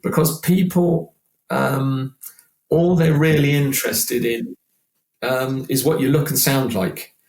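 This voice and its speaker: A man's voice: medium pitch, steady tone, takes it slowly, vocal fry, a little mellow. A British man aged sixty chatting casually as an interview guest, rambling and self-deprecating. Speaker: podcast guest, working function singer. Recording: roomy, echoing sound.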